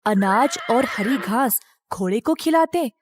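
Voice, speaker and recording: A woman's voice: on the high side, expressive, takes it slowly, a little hiss or hum. A woman narrating a scripted moral story for children as a storyteller.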